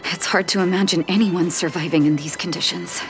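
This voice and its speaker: A woman voicing a gentle, resolute doctor and leader in a video game, soft, measured and thoughtful. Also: on the low side.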